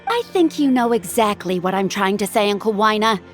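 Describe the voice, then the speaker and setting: An actress playing a bossy, insistent yet polite younger sister in a video game. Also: female voice, mid-range voice, lively intonation, brisk, faint music behind, close to an everyday voice. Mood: pointed, insistent.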